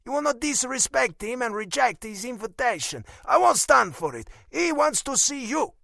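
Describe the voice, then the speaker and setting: A man acts out a stern, controlling older father with an Italian accent. He speaks in curt, disapproving commands, pitched up and emphatic. It is audiobook character work, recorded very dry. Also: sing-song, normal speed, vocal fry, bright, forceful tone, no echo, heavily acted. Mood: commanding, stern.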